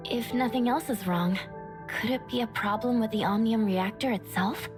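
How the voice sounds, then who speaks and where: A woman voicing a calm, dependable young female guardian in a video game, plainspoken, with music in the background. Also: on the high side, moderate pace, lightly acted. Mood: questioning. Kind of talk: scripted game dialogue.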